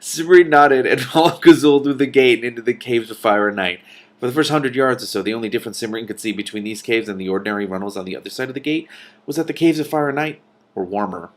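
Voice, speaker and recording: A man reading a novel aloud as host, with animated, casual reactions between passages. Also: mid-range voice, fast.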